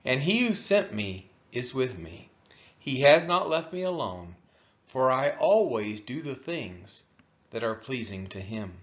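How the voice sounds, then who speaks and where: A man reading scripture aloud in a solemn style, with deliberate, emphatic phrasing and a dull, lo-fi sound. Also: mid-range voice, very animated. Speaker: scripture reader.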